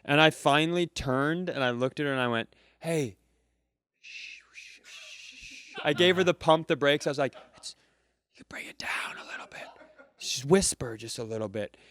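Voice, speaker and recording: A man with a smooth, resonant and fairly high voice tells a funny story. The sound is close and dry, and he keeps jumping into impressions of the people in his story. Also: moderate pace, pauses often, dry recording. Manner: animated, mimics other voices mid-story. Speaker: comedian podcast host. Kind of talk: casual comic storytelling. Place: live venue, close mic.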